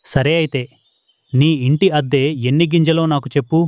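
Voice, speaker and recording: A man's voice: on the high side, fairly even intonation, brisk, darkish tone, no room sound, close to an everyday voice. A man playing a poor, trusting father pigeon, earnest and anxious, in a children's cartoon. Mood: surprised, hesitant. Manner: earnest, innocent, pleading.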